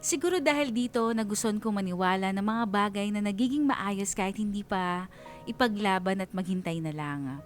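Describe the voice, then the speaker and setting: Slightly low-voiced woman narrating a sentimental story. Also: brisk, soft background music. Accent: Filipino. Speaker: radio DJ reading a listener's story. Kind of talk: scripted, dramatic first-person story narration.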